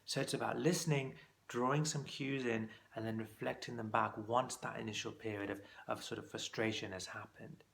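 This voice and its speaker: A man's voice: mid-range voice, steady tone, moderate pace, creaky, a bit bright. A man teaching as a clinical exam course tutor, giving practical coaching tips in a conversational instructional talk to camera.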